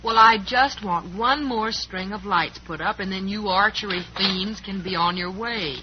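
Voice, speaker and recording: An actress playing a brisk, teasing but kindly woman who runs a cafe in an old-time western radio drama. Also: medium pitch, very noisy, close to an everyday voice. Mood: wry, bossy. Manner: wry, bossy, kindly. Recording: muffled old radio recording.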